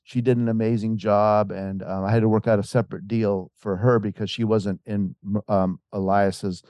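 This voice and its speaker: A man talks as an author guest in an interview, rambling through a story with frequent restarts. His voice is dark and mellow, and the recording is dry and close. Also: on the low side, level tone, normal speed, no echo. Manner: rambling, with many restarts and fillers; acts out quoted voices. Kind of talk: casual conversational storytelling.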